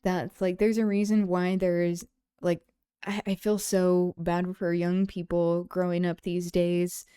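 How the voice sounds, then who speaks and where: A woman hosting a livestream, thinking aloud in a casual, discursive and halting way. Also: lowish voice, level tone, mellow, clear, resonant tone, no room sound, studio quality. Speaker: livestream host. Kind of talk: casual livestream chat, reflective commentary.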